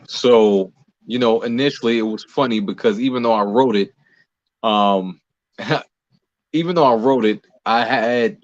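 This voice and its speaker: An American man answering interview questions conversationally as a guest, explaining in a measured way with pauses between phrases. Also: fairly low pitch, dry recording, lo-fi sound. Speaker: playwright, director and actor interviewed as guest.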